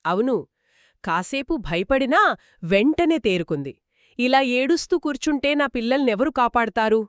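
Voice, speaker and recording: A woman's voice: fairly high pitch, lively intonation, moderate pace, no echo, studio quality. A woman telling a children's story conversationally, engaged and dramatic as she speaks to young listeners.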